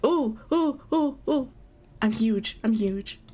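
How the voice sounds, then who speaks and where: A woman's voice: sits high, mellow. A young woman chatting casually on a livestream, giggling often and rambling through restarts. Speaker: streamer. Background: faint background music.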